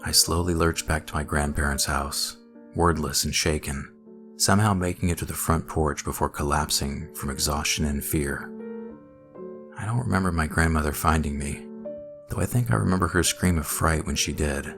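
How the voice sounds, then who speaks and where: A man with an extremely deep voice narrates a horror story. His delivery is scripted, measured and dramatic, with faint music playing behind him.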